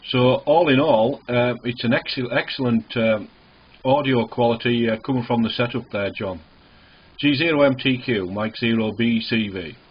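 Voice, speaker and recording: Husky man on ham radio. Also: medium pitch, normal speed. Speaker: amateur radio operator. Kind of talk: casual ham radio contact with call signs. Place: small indoor radio shack. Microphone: small camera near the radio's speaker. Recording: dull, muffled camcorder recording in a radio shack.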